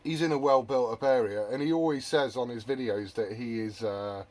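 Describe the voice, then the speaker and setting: A man's voice: medium pitch, normal speed, darkish tone. A man chatting casually as a hobby radio operator, rambling and often correcting himself mid-thought.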